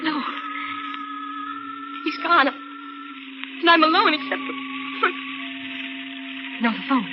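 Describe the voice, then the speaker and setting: A woman's voice: high-pitched, lively intonation, slow, long pauses, music in the background. An actress playing an anxious, pleading woman in an old radio thriller, hesitant and melodramatic. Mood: terrified. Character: anxious, frightened, pleading female stranded passenger. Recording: very noisy, muffled vintage radio recording.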